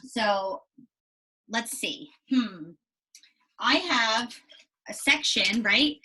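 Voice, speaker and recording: A woman teacher giving a read-aloud lesson to young children, animated and explanatory with frequent check-in questions, in a slightly husky voice. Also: on the high side, low-quality recording. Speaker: elementary school teacher.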